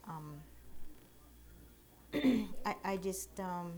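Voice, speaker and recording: A woman of thirty-nine answering casual interview questions in a plain, halting way, keeping her answers brief. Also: fairly low pitch, expressive, a little mellow. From Oneida. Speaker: interviewee, basket maker.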